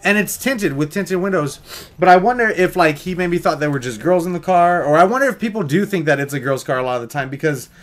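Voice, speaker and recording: A young American man with a high voice for a male speaker, chatting quickly and informally. He rambles through a casual story in a jokey, conversational way. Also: animated. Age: young adult. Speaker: vlogger telling a story.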